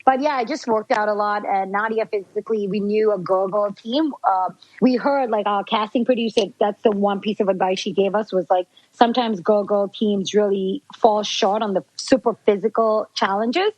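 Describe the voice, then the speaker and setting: A woman's voice: medium pitch, steady tone, normal speed, hardly any pauses, darkish tone, dry recording, lo-fi sound. Sri Lankan-accented woman, dry and chatty. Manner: chatty, laughs often, peppered with "like". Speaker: guest. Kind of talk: casual interview.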